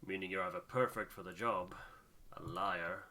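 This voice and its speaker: Game role: stern, probing sea captain played by a male host, very low, forceful voice. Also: fairly even intonation, normal speed. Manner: curt, interrogating.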